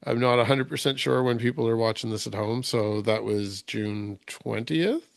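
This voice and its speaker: Gravelly smooth-voiced male host. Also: mid-range voice, normal speed, dry recording, studio quality. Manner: conversational, loose rambling questions. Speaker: podcast host. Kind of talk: casual interview. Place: remote call.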